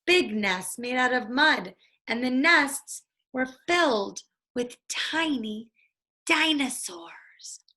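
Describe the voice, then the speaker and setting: A woman reading a children's story aloud in a deliberate, expressive storytelling manner. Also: high-pitched. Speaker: storybook reader.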